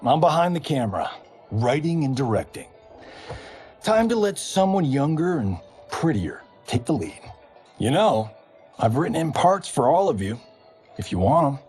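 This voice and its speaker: A brash middle-aged movie-star fighter, all joking showbiz swagger. His pitch swings lively up and down, with soft music under him in a game cutscene. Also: moderate pace. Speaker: actor turned film director.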